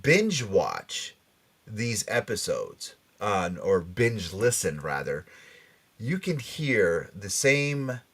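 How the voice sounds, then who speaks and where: A man's voice: fairly low pitch, big pitch swings, very slow, a bit bright, breathy edge. A man hosting a casual pop culture chat show, talking in an enthusiastic, emphatic, rambling way. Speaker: podcast and radio show host.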